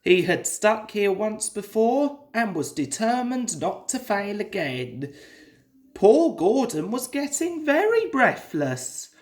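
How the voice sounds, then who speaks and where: A person reading a children's story aloud in a deliberate, dramatic storytelling style. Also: medium pitch, very animated. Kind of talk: expressive children's story narration.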